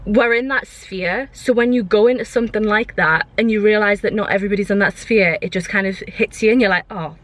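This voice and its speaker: A woman's voice: medium pitch, a little fast, hardly any pauses. A young British woman chatting casually to her vlog viewers in an informal, rambling way. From United Kingdom. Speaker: vlogger.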